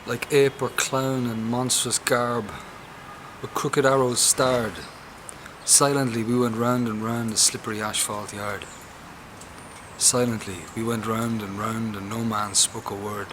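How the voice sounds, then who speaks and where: Male poetry reader, medium pitch, dramatic recitation, clear ambient noise. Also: flat delivery, measured pace.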